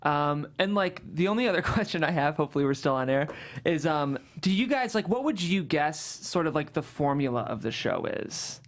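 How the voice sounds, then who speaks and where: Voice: medium pitch, lively intonation, moderate pace. A writer talking casually and tentatively in a studio workshop discussion about their own work. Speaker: writer of the script being discussed.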